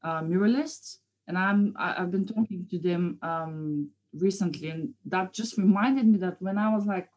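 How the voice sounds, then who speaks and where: A woman's voice: fairly low pitch, normal speed, hardly any pauses, a little mellow, dull audio. A woman in her thirties from Bulgaria with a Bulgarian accent, chatting informally and a little hesitantly as an interview guest. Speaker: guest, painter. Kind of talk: casual interview chat.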